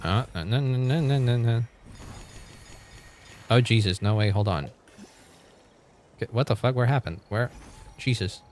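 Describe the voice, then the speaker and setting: A man's voice: medium pitch, unhurried, long pauses, a little mellow, a little hiss or hum. A man livestreaming a game, chatting casually with viewers in a rambling, informal way with some mild swearing.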